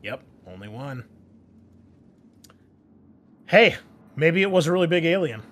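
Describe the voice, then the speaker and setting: A man's voice: fairly high pitch, sing-song, unhurried, fairly bright tone. A man working as a game master, casually explaining a tabletop game's story in a hesitant way as he pieces details together from memory.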